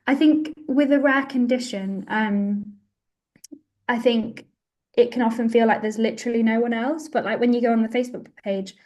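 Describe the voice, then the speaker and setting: Higher-pitched northern English woman, informal. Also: steady tone, normal speed, a little mellow. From Warrington, northwest England. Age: 20s. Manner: informal, peppered with "like". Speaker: podcast guest. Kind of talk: casual chat. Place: home room, indoors. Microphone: device mic on a video call.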